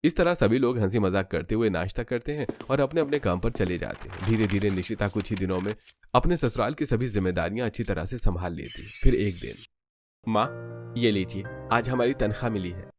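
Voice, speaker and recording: Guy narrating a cartoon family story, measured delivery, bit of music under it. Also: lowish voice, expressive.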